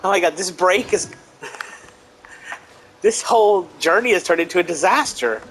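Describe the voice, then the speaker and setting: A man's voice: high voice, a little slow, fairly bright tone, rough recording. A man giving casual let's play commentary in a wry, mock-exasperated way, with game music behind. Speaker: gaming let's player.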